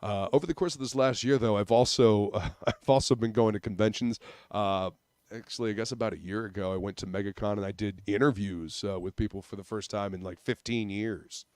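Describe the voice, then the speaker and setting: A man's voice: on the low side, fairly even intonation, brisk, a little mellow, no room sound. A man from northern Indiana chatting casually on a podcast, rambling with many fillers and restarts. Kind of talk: casual chat.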